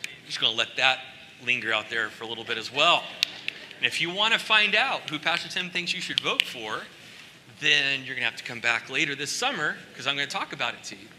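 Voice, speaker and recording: A middle-aged man, born in Costa Rica, preaching a conversational sermon on a large church stage with animated asides. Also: mid-range voice, fairly quick, background noise. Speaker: pastor. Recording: long hall reverb.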